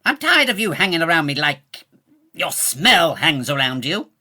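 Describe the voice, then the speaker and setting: A snobbish, irritable British nobleman in a comic audio play. The voice is bright and cutting, swinging widely in pitch, with florid old-fashioned phrasing and open contempt. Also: big pitch swings, moderate pace, full character voice. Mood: exasperated. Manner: pompous, florid archaic insults. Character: haughty, irritable lord who scorns peasants. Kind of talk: comic period audio drama acting.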